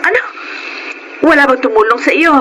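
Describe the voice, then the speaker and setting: A woman's voice: high-pitched, moderate pace, noisy background, natural voice. An actress playing a worried, caring mother in a Filipino radio drama, fretful and pleading, with a Filipino accent. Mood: alarmed. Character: worried, caring mother of a young fish vendor.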